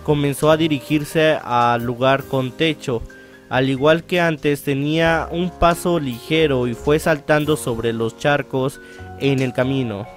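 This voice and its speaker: A man's voice: medium pitch, steady tone, a little slow, faint music behind. A man hosting a let's play, reading visual novel narration aloud and now and then stumbling and rereading phrases.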